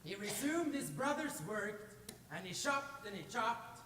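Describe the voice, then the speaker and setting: A young man from Norway narrating a folktale live on stage in a theatrical, expressive way. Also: sits high, unhurried. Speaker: storyteller. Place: stage in a large hall. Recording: echoey hall, distant mic, clear noise.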